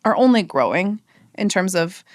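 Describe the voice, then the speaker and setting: A woman's voice: on the low side, sing-song, normal speed, no room sound, clean studio sound. A woman, a marketing executive chatting as a podcast guest, thinking aloud with fillers and "right?" tags. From United States. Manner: conversational, thinks aloud with fillers and tag questions. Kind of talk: casual interview conversation.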